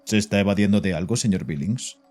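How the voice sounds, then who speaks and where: A man plays a calm psychiatrist in a horror audio drama, deep and mellow. He questions his patient in a measured, probing manner. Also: soft background music, lightly acted.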